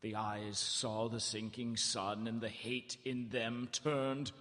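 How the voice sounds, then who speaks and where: Haunted eyewitness, middle-pitched, dramatic first-person narration, long hall reverb. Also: male voice, little pitch movement, slow pace, a bit bright. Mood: haunted, grave. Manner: grave, dramatic. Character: haunted male witness recounting a horror.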